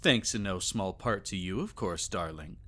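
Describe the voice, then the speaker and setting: A man playing a teasing, doting vampire lord in an intimate ASMR romance roleplay, with soft chuckles and sighs. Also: low-pitched, expressive, moderate pace. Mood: warm, fond.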